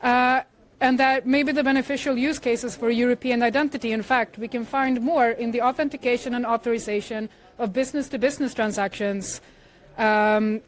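Swedish woman giving a measured conference talk. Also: a little high, steady tone. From Sweden. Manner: measured, explanatory, with frequent "uh" fillers. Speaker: conference speaker. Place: conference room. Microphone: handheld microphone shared with co-speaker.

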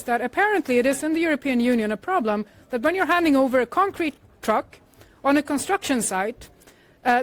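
A woman from Sweden speaks at a conference, slightly higher-pitched than most, explaining in a measured way with plenty of "uh"s. She talks into a handheld mic in a conference room. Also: dry recording. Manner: measured, explanatory, with frequent "uh" fillers. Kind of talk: conference talk. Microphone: handheld microphone shared with co-speaker.

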